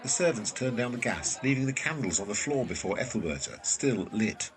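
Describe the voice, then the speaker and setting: A man's voice: medium pitch, lively intonation, brisk, a crowd in the background. A man reading scripted scene narration for a period drama. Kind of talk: scripted narration of stage directions.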